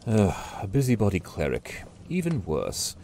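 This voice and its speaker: A jaded, otherworldly listener, voiced by a man in an audio drama. He speaks low and dark with a gravelly edge, and his pitch rises and falls widely. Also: wildly swooping pitch, moderate pace, a little hiss or hum. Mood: dry. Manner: dry, disdainful.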